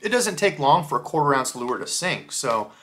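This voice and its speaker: A man in his thirties talks through fishing gear in a relaxed, chatty way, full of little fillers. His voice sits a bit high for a man and sounds bright and forward. He is recorded close up at a desk in a small room. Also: normal speed. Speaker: fishing channel host. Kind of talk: casual lure unboxing review. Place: small indoor room with lures on the wall.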